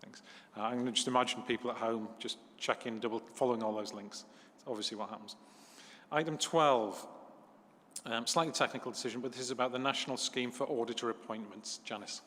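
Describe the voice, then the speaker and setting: A man chairing a council meeting, relaxed and plain, with asides to viewers. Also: medium pitch, brisk, a little hiss or hum. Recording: echoey council chamber.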